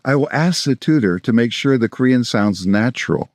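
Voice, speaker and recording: A man in his sixties from South Florida with an American accent, presenting scripted language-learning instruction in a measured, explanatory way. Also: mid-range voice, animated, a little mellow, dry recording. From South Florida, United States. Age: 60s. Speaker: language-learning video host, polyglot. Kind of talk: scripted instructional narration to camera.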